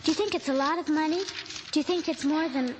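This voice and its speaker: An anxious, earnest little girl, acted by a woman in an old radio drama. Her voice sits high and pleads plaintively for what she wants. The vintage recording is clearly noisy. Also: fast talker, lightly acted. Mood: anxious, pleading.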